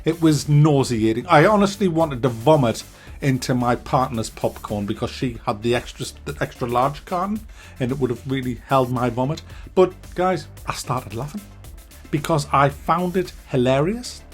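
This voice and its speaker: A man giving a ranting movie review, animated, sarcastic and full of swearing. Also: mid-range voice, normal speed, soft background music.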